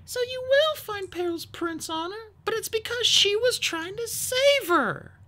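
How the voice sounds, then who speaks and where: A woman's voice: very high voice, lively intonation, unhurried, sharp tone, pitched above the performer's own voice, exaggerated voice. A woman voicing an earnest young female dragon with a pleading manner in a storybook. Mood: subdued.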